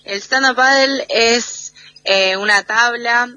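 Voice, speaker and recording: Unhurried woman on a phone line. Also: on the high side, sharp tone. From United States. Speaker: athlete guest. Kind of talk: phone interview on radio. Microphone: phone call over messaging app.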